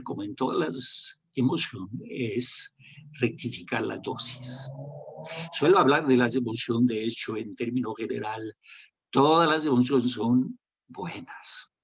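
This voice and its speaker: Middle-aged French man, native French speaker, teacherly and calm; breathy tone, muffled webcam sound. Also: mid-range voice. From France. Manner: calm, measured, teacherly, poses questions. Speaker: hypnotherapist guest. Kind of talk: explanatory talk on a video call. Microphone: video call. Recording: dull lo-fi call audio.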